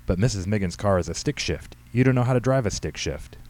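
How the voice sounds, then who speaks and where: A man's voice: lowish voice, lively intonation, quick pace, darkish tone, pitched above the performer's own voice, lightly acted. A man voicing a weary, exasperated middle-aged father, stern and long-suffering, in an audiobook story. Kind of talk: audiobook character dialogue.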